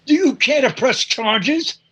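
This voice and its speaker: A woman's voice: sits high, animated, slow, crowd noise. A woman playing a wry, officious police officer in 1940s-style radio comedy.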